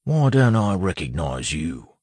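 Man playing a husky, nervous captured bandit. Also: deep voice, sing-song, measured pace, darkish tone, no echo, voice pushed up, exaggerated voice. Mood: nervous, wary. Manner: hesitant, urgent. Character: tubby, nervous captured bandit, a male underling. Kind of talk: audiobook character acting.

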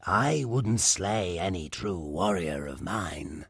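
A man's voice: sits low, animated, no echo, clean studio sound, exaggerated voice. A man voicing a cruel, menacing male wildcat warlord in a storybook reading, speaking with silky, mocking menace. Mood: coaxing. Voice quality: gravelly, husky, slow and deliberate.

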